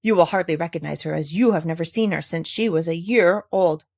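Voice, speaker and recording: A woman's voice: lowish voice, a little fast, vocal fry, a little mellow. A woman voicing an exasperated, indignant aunt who scolds emphatically in a storybook read-aloud. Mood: curt, defensive. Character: scolding, exasperated young aunt in a children's classic.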